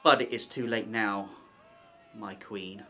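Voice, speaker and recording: A man's voice: fairly low pitch, steady tone, takes it slowly, pushed and bright, slight background noise. A man playing an anxious, wary conspirator in an ancient Egyptian palace, in radio drama style. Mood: grim, fearful.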